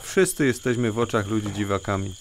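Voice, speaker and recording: A man playing a weary but steady adult son in a children's audio drama. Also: lowish voice, a little fast, a little hiss or hum, natural voice. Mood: weary, reassuring.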